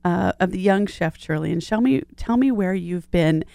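A woman's voice: on the low side, animated, moderate pace, vocal fry, dark tone, no room sound. Chatty woman interviewer, dry close sound. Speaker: interviewer, host. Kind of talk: casual conversational interviewing.

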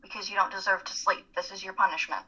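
A young woman plays a bossy, blunt college student who cares underneath, in an audio drama. Her voice is bright and husky, on a dull lo-fi recording. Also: mid-range voice, fairly even intonation, quick pace. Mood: stern, bossy. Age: young adult. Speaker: actor in a dramatic reading. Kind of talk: radio-play style dramatic reading.

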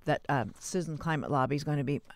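A man with a somewhat high voice hosts an interview in a casual, conversational style. The sound is dry, with little room around the voice. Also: rapid-fire, dry recording. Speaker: interview host.

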